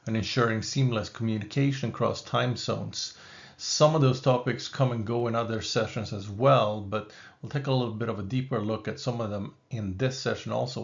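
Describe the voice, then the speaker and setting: Gravelly swedish-accented professor, measured lecture voice-over, slightly dull recording. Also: male voice, mid-range voice. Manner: measured, explanatory, with occasional asides. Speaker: business school professor.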